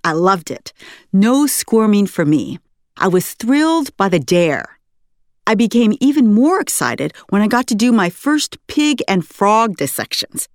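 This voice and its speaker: An American woman, an author reading her own memoir aloud in an articulate, expressive storytelling manner. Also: medium pitch, measured pace, dry recording, clean studio sound. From United States, West Coast. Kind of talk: scripted memoir narration.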